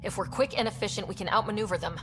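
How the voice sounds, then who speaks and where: A woman's voice: mid-range voice, rapid-fire, fairly bright tone, a little hiss or hum, lightly acted. Game squad leader, female actor: mid register, commanding, decisive, concise, reverberant. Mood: composed, decisive. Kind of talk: video game voice acting.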